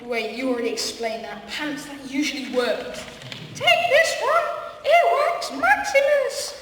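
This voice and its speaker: Very high, excitable bumbling young wizard played by a woman. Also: measured pace, heavily acted. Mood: excited, bumbling. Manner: exaggerated, comic, exclaiming. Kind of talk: amateur radio play acting. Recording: echoey hall, distant mic, faint noise.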